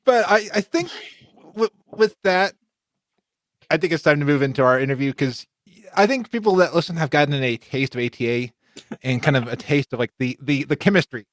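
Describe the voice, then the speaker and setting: A man's voice: on the high side, animated, fairly quick, no room sound. A man hosting a casual podcast, upbeat and often stammering and repeating words, on a studio mic at home. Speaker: podcast host.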